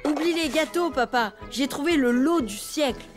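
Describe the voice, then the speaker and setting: A woman's voice: high-pitched, moderate pace, over loud music, close to an everyday voice. A woman voicing a brash, boastful young boy elephant in a French cartoon dub.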